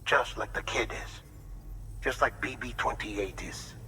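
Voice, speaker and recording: An earnest, encouraging researcher. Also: male voice, on the low side, a little slow, pushed and bright, a little hiss or hum. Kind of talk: video game cutscene acting.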